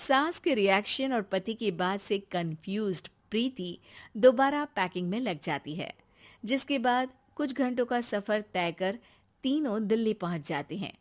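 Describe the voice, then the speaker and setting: A woman narrator reading a scripted moral tale as storytelling. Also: mid-range voice.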